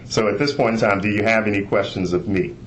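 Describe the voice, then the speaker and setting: A man with a fairly low voice presents formally, like an internal auditor reporting to a committee. His delivery is measured and bureaucratic, and faint noise sits behind him on the conference call. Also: steady tone. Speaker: internal auditor, inspector general. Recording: noisy conference call recording.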